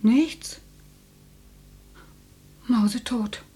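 An actress playing a matter-of-fact older housewife in a radio play, with a husky voice, often muttering as if to herself. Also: female voice, fairly high pitch, extremely slow, mellow, full character voice. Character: matter-of-fact grandmotherly housewife who learns she is dead.

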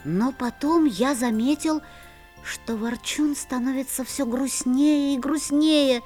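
A woman's voice: sits high, slow, a little mellow. A woman voicing an earnest young boy narrator. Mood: tender. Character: young boy narrating, friend of a forest spirit. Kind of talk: storybook narration in an audio play. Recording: faint music behind.

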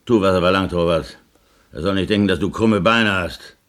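A man's voice: sits low, moderate pace, lightly acted. An actor playing a stern, sardonic merchant and father of noble birth in a 1970s German radio drama, with a dry, firm, mocking manner.

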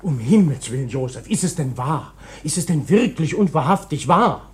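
Older uncle: fussy, alarmed, urgent, very animated pitch with some husk. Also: male voice, moderate pace, breathy edge. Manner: agitated, urgent, repeats words insistently. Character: fussy, alarmed older uncle anxious about family honour. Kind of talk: radio drama acting.

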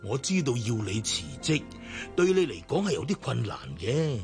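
A man's voice: mid-range voice, very animated, normal speed, a little mellow, faint music behind. An actor with a Hong Kong Cantonese accent playing a scheming, persuasive businessman in a radio drama. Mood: smooth, pressing. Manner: coaxing, urging. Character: scheming businessman, smooth and persuasive to his superior.

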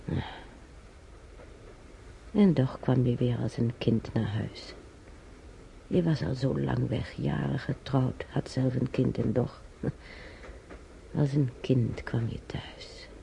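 Elderly German emigrant, radio play monologue. Breathy, gravelly, slow, nostalgic, rambling, German accent. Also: male voice, medium pitch, dark tone, slight background noise, rough recording. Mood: confiding, wistful. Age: older. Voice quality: husky, breathy, creaky. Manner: rambling, halting reminiscence with German phrases. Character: elderly German emigrant fondly reminiscing about family. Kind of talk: intimate radio drama monologue.

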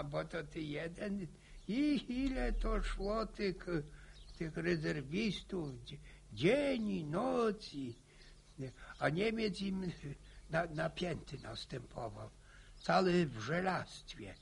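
A garrulous old countryman with a high-pitched, husky voice. He speaks slowly and haltingly, stumbling over words and repeating them, pious and easily alarmed, as in a village radio drama. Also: sing-song, heavily acted. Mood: reminiscing. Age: older.

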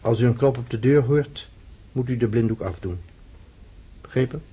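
Solemn, husky, dark-voiced young count. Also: male voice, mid-range voice, moderate pace. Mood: stern. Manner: solemn, formal, commanding. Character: stern, ceremonious young Polish count, freemason sponsor. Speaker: radio drama actor. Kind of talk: radio drama acting.

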